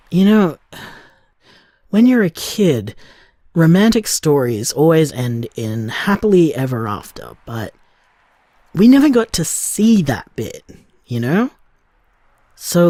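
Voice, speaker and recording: An actor playing a tender, contented bar owner in love, speaking reflectively in an audio drama voicemail. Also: male voice, on the high side, dry recording, studio quality, exaggerated voice. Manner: soft-hearted, reflective, conversational.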